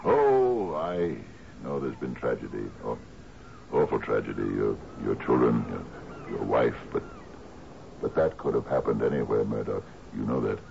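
An actor in a radio drama playing an anxious, genial older island captain, halting and stammering as he pleads. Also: a bass voice, very animated, vocal fry, darkish tone, exaggerated voice. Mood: troubled, pleading. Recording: muffled, hissy old radio broadcast.

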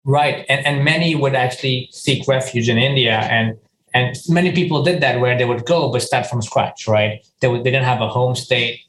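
A man's voice: mid-range voice, moderate pace, a bit bright. Earnest man in an echoey room. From Ghana. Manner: earnest, with frequent restarts and "you know". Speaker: company founder and CEO. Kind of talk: conversational interview answers.

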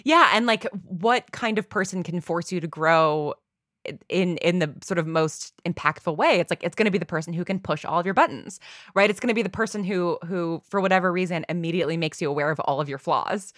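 A woman's voice: fairly low pitch, brisk, fairly bright tone, dry recording, studio quality. A woman talking casually as a podcast guest and writer, thinking aloud and repeating words as she goes. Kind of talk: casual conversational interview.

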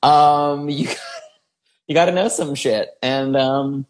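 A man's voice: medium pitch, fairly even intonation, slow pace. A man co-hosting a podcast, chatting casually and teasing his co-host with frequent laughter. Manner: playful, teasing, laughs often. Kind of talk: casual chat, reviewing reality show contestants.

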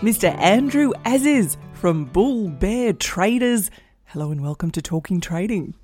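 A woman's voice: mid-range voice, animated, a little slow, a little mellow, soft background music. A woman hosting a talk podcast, asking direct questions to prompt her guest. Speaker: podcast host. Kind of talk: interviewing a guest.

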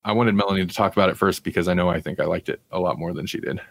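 A man's voice: deep voice, very fast, gravelly. A man co-hosting a podcast, chatting casually about pop culture. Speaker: podcast co-host.

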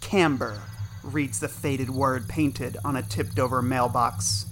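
A man giving measured, suspenseful scripted narration for an audio drama. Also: medium pitch, fairly even intonation, noisy background. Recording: music and effects under narration.

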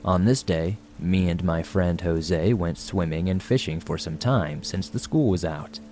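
Deep, dark-voiced man narrating horror. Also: a little slow, soft background music. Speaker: horror story narrator, show host. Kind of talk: scripted horror story narration.

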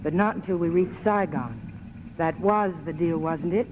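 An actress playing a guarded, defiant secretary in a 1940s radio drama, speaking crisply and insistently. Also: female voice, on the low side, measured pace, close to an everyday voice. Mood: cool, brisk. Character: defiant, guarded young secretary with nerves of steel. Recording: noisy old 1940s radio recording, engine drone.

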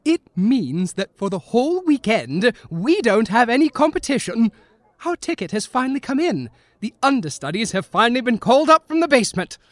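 An actor playing an eager, self-important Victorian amateur detective in an audio fiction comedy, pompous and theatrical. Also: male voice, very high-pitched, sing-song, normal speed, dry recording. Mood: eager, triumphant.

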